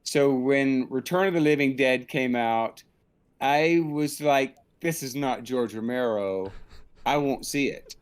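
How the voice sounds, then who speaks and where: A man's voice: medium pitch, slow, clear, resonant tone. A middle-aged man giving a reflective, casual interview, candid and self-deprecating about himself. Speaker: interview guest, film director. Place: indoors, on a group video call.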